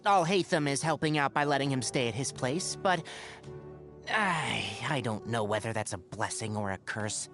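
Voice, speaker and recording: A man voicing a young fox-eared forest ranger in a video game, a scholarly mentor who talks in an articulate, wry, gently lecturing way. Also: medium pitch, expressive, normal speed, natural voice. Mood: dry, guarded. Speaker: video game character voice actor. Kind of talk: game character voice lines, conversational monologue. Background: background music.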